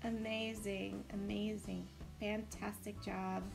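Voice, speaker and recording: A woman's voice: mid-range voice, level tone, takes it slowly, creaky, faint music behind. A woman giving casual, encouraging commentary as host of a game build tour.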